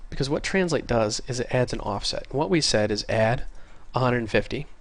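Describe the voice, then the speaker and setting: A man with a congested voice from a cold, casually talking through a programming tutorial and getting excited over small things. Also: medium pitch, moderate pace, no room sound. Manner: casual, easily excited, chatty. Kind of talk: screen-recorded coding tutorial. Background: faint keyboard typing.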